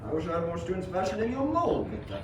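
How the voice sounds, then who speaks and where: A man's voice: high voice, big pitch swings, fast, crowd noise. A young man playing a courteous, approving university professor in an amateur radio play, with formal, genteel phrasing. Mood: courteous, eager. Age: young adult. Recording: very echoey, distant, noisy.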